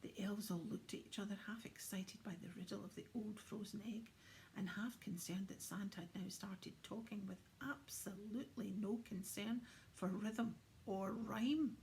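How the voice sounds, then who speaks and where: A woman narrating a children's storybook in an expressive, often hushed and dramatic way. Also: medium pitch, moderate pace, slight background noise, rough recording. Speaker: storybook reader.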